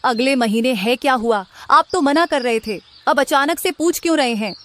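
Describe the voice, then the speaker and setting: Woman acting a questioning, high-pitched cartoon wife. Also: normal speed, a bit bright. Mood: curious, agreeable. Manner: questioning, protesting. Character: merchant's wife, questioning and placating. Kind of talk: Hindi cartoon story voice acting.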